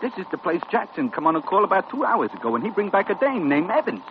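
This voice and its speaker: An actor playing a chatty, streetwise working-class ambulance driver in an old-time radio drama, slangy, blunt and excitable. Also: male voice, high voice, fast talker. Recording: muffled, noisy old radio recording.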